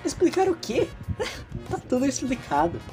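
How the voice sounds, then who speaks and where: A man's voice: very high-pitched, huge pitch swings, normal speed, a little mellow, faint music behind, voice pushed up, full character voice. A man with a Brazilian accent voicing an enigmatic, grandiose teenage schoolboy in an audio drama, theatrical and teasing. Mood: smug, teasing.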